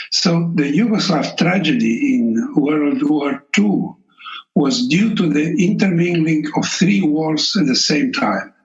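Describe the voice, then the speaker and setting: Male psychoanalyst, Slovenian native from Italy; measured, unhurried delivery over muffled audio. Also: a little high. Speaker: psychoanalyst guest. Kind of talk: recorded interview answers.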